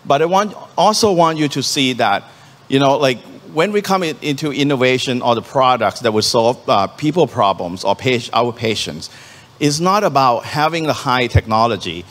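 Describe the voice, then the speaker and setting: Thai-native man, startup founder talk, earnest and halting, mid pitch, live hall echo. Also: animated, fairly bright tone. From Thailand. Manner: halting, many ums and restarts, earnest. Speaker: startup founder presenting on stage. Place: conference venue hall. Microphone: handheld stage mic. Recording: hall reverb from a live venue.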